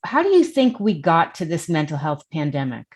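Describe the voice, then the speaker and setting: A woman's voice: sits low, sing-song, normal speed, darkish tone, breathy edge. A woman hosting an interview, asking questions and encouraging her guest with short replies. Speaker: interviewer, host. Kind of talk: interview conversation.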